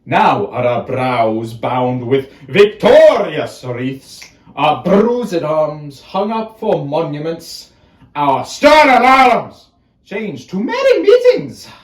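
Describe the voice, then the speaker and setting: A man's voice: fairly high pitch, a little mellow. A man performing a bitter, scheming, deformed royal villain in a declamatory Shakespearean monologue. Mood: mock triumphant. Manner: declamatory, slow with dramatic pauses and swings. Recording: roomy, echoing space.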